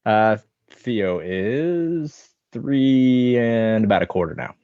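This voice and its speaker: A man in his thirties with an American accent, answering interview questions in a relaxed, thoughtful, rambling way. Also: mid-range voice, slow, clear, resonant tone, no room sound. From American Midwest. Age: 30s. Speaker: podcast guest, online fitness coach. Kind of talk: casual interview chat. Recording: dull lo-fi sound.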